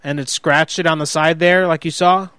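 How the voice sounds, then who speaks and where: A man acts out a hot-headed husband on a prank call, in a slightly high, very clear voice. He rambles and stumbles through sheepish self-justifications, recorded close and dry. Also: fairly even intonation, moderate pace, sharp tone, no room sound. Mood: irritated, defensive. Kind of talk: improvised prank phone call.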